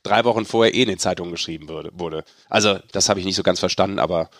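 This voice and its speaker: Low-voiced man in earnest sports podcast chat. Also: quick pace, a bit bright, no echo, clean studio sound. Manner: earnest, opinionated. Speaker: podcast host and sports reporter.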